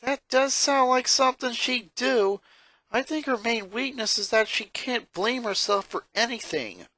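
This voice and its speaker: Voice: mid-range voice, animated, measured pace, pushed and bright, no echo, voice pushed up. A narrator voicing a tough, dismissive tomboy girl in a cartoon fan-fiction reading. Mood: blunt, irritated.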